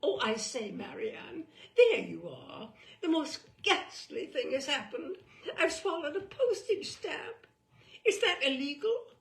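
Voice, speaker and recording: A woman's voice: extremely high pitch, gravelly, reverberant, voice pushed up, heavily acted. A woman voicing a silly, flustered upper-class British husband in a plummy, babyish, dithering way for a comic story reading.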